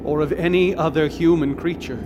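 An actor playing an eccentric ghost hunter in a radio drama, who speaks in formal, old-fashioned sentences. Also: male voice, on the high side, steady tone, fairly quick, muffled recording, background noise, close to an everyday voice. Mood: haunted. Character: grave, eccentric male ghost hunter telling a dark tale. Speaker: witness recounting a haunting. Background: music.

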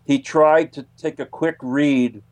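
A man answering as an interview guest on radio, haltingly searching for his words. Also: on the high side, fairly even intonation, darkish tone. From United States. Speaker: guest, UFO researcher.

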